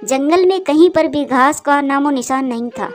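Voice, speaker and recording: A woman's voice: high voice, moderate pace, faint music behind. A woman reading scripted narration for a children's moral-story cartoon.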